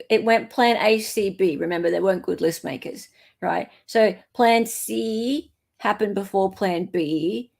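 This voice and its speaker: Creaky-voiced woman commentator. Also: on the low side, a little mellow. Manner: informal, flippant. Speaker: host commentator. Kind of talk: casual commentary, thinking aloud.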